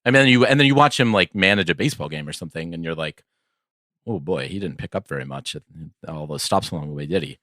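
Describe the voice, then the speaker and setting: A man's voice: low voice, animated, fast, sharp tone, no echo, studio quality. A man co-hosting a casual sports podcast in a wry, conversational way. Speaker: podcast co-host.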